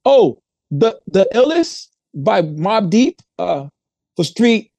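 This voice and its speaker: A man hosting a podcast, telling stories in a slangy, animated, casual way. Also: high voice, slow, creaky, no echo. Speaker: podcast host.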